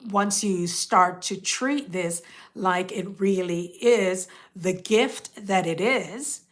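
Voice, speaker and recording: A woman hosting a casual live stream, talking straight to her viewers in a rambling, motivational way, with a stuffed-up, congested voice. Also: on the low side. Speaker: host.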